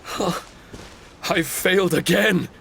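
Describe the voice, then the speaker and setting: A man's voice: sits high, slow pace, gravelly, a bit bright, a little hiss or hum, heavily acted. A man voicing a small paper-doll spirit in an anime game, earnest, courteous and formal in manner. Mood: frustrated, weary.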